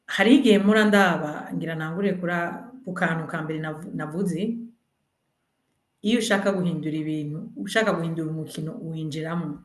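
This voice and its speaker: A woman's voice: low-pitched, brisk, echoey room. Earnest woman candidate on a video call. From Burundi. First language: French. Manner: earnest, mixing languages, persuasive. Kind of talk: virtual community meeting, answering questions.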